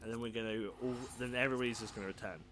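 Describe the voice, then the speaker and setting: A young man with a croaky, sore throat, casually commentating a video game, self-deprecating and swearing freely. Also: on the low side, fairly even intonation, very fast. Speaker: gameplay commentator. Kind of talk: casual let's play commentary. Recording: game music playing behind.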